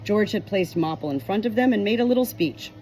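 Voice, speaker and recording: A woman reading a novel chapter aloud in an expressive storytelling manner, giving short quoted lines a light change of voice. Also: medium pitch, a little fast, slight background noise, rough recording. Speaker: audiobook reader.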